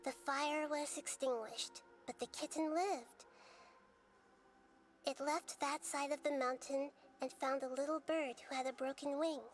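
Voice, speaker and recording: High, gentle, solemn young storyteller. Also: female voice, fairly even intonation, measured pace, soft background music. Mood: solemn, tender. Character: gentle solemn young female character recounting a fable and memories. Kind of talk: scripted game storytelling and dialogue.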